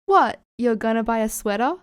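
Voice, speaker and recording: A woman voicing a feisty, easily flustered young woman, animated and affectionately scolding, in an audio fan fiction. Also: fairly high pitch, normal speed, smooth, no room sound, close to an everyday voice. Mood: teasing.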